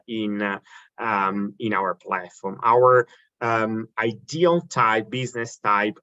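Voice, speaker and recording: A man from Italy with an Italian accent, giving a webinar presentation on business strategy and pausing often with fillers and restarts. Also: fairly low pitch, fairly bright tone, muffled recording. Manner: halting, many fillers and restarts. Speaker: business development director.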